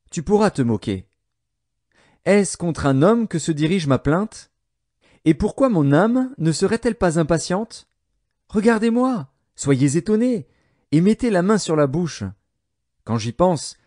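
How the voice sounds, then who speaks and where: A man narrating an audio Bible in a solemn, measured way, enunciating each phrase with care. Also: a little high, a little mellow. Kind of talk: scripted scripture narration.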